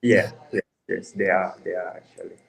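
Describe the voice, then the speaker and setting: A young man from the Gambia, answering interview questions in a hesitant, halting way with many restarts. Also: low-pitched, level tone, gravelly. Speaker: interview guest.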